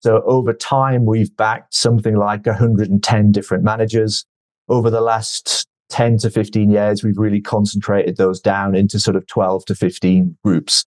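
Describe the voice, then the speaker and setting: Measured man explaining investing. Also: on the low side, level tone, darkish tone. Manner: measured, explanatory, repeats words when starting sentences. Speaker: venture investor guest. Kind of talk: podcast interview answers.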